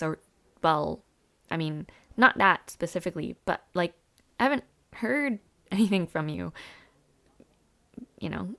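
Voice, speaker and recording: A young woman playing a friendly, chatty university student in an audio roleplay, speaking casually with hesitant afterthoughts and a creaky voice. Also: medium pitch, fairly bright tone, heavily acted. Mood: shy, curious. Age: young adult. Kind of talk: intimate audio roleplay, casual one-sided conversation.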